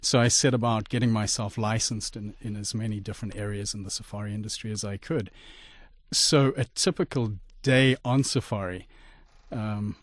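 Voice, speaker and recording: A middle-aged man from Zimbabwe, a radio interview guest telling his life story in an articulate, measured way. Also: lowish voice, dry recording.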